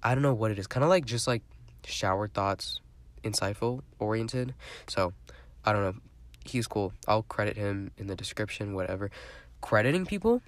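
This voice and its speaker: A man's voice: lowish voice, no echo. A young man hosting his own podcast, talking in a rambling, chatty way with a stuffy, congested voice. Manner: rambling, chatty, lots of fillers. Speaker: podcast host. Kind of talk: casual solo podcast monologue.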